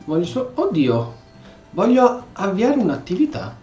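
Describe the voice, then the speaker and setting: Italian guy streaming a game, excitable and joking, voice jumps around, boxy echoey dull sound. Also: high-pitched, moderate pace, soft background music. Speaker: gameplay streamer. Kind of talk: casual, playful role-play commentary on a game.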